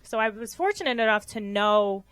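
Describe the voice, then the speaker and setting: A woman's voice: fairly high pitch, lively intonation, normal speed, fairly bright tone, dry recording. A young woman from Rochester, New York, a physical therapist guest giving earnest interview answers with frequent ums.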